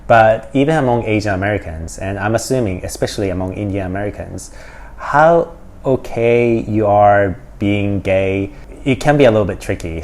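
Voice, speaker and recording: Low-voiced man giving blunt commentary. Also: a little mellow. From Japan. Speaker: reaction video host. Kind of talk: casual opinionated commentary.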